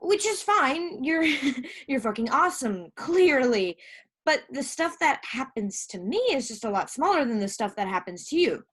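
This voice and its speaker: A woman acting an insecure, hurt younger sister fresh out of rehab in a stage play, speaking in a halting, emotional way. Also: high voice, very animated, a bit bright, husky, exaggerated voice. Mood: hesitant, wounded. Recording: live stage recording.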